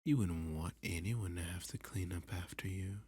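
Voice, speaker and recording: A man playing a dominant, flirty villain who taunts a hostage in an intimate ASMR roleplay, often dropping to a breathy, teasing whisper. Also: deep voice, warm tone, no room sound. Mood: threatening, dominant. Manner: slow, teasing, sadistic, possessive.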